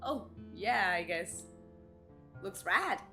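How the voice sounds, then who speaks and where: A woman's voice: mid-range voice, animated, slow pace, bright, forceful tone, faint music behind, full character voice. A native Spanish-speaking woman voicing a shy young man in a video game, hesitant and understated. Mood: bashful.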